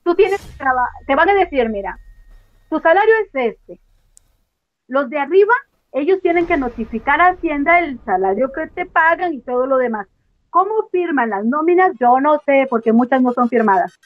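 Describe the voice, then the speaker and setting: A woman's voice: sits high, expressive, normal speed, no room sound. A woman giving frank, emphatic testimony as an interview guest over a video call. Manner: frank, emphatic, laughs off sensitive points. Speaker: guest, former member. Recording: dull, dry call audio.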